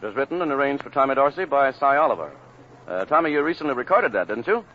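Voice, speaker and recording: A male announcer from 1940s live radio introduces a band number. His delivery is quick and his intonation swings widely. The sound is muffled, like an old broadcast. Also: medium pitch, animated, slight background noise.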